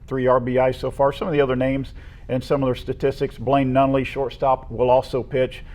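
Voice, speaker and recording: An American man co-hosting a local high school sports show, casually running through names and statistics. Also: little pitch movement, fairly quick, a little mellow.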